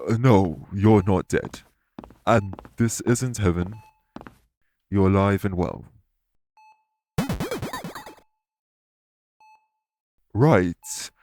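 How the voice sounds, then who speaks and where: A man voicing a calm, courteous winged elf in an audio roleplay, speaking formally and patiently to reassure the listener. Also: deep voice, takes it slowly, a little hiss or hum.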